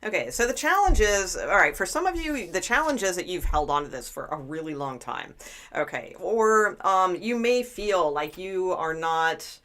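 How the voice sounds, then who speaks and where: A woman with a notably low, deep-set speaking voice reads tarot cards for a live audience. She talks in a loose, chatty way, restarting her sentences and leaning on fillers as she thinks aloud. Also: sing-song, moderate pace, pushed and bright. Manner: chatty and rambling, many fillers and restarts, greets viewers. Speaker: tarot reader. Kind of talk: live-streamed tarot reading.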